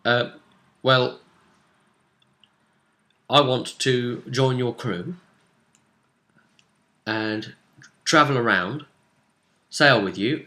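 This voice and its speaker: A man chatting casually as a game master, recapping a tabletop role-play session with animated storytelling and asides. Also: mid-range voice.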